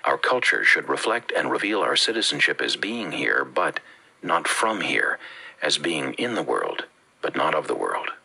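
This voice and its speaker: A man from the Bahamas with a Bahamian accent, reading a religious teaching with measured, emphatic phrasing. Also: very deep voice, big pitch swings, vocal fry, pushed and bright, breathy edge, low-quality recording. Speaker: preacher. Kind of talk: read-aloud religious teaching.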